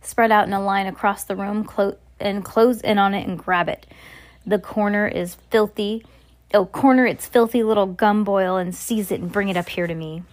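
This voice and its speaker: A woman's voice: mid-range voice, steady tone, normal speed, lightly acted. A woman voicing an imperious, cruel female witch in a storybook, commanding and scornful. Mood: angry, commanding. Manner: commanding, scornful, barking orders.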